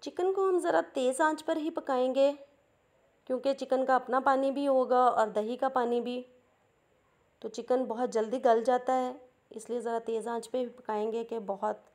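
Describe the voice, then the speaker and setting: Cooking host, woman, Pakistani Urdu accent, patient and plain, warm tone. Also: a little high, fairly even intonation, moderate pace. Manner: patient, explains each step plainly. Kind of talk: step-by-step cooking instructions.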